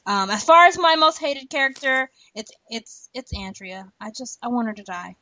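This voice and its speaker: A woman's voice: on the high side, animated, normal speed, pushed and bright, dry recording, dull audio. A woman co-hosting a podcast, chatting casually and emphatically about a TV show, repeating words for stress. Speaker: podcast co-host.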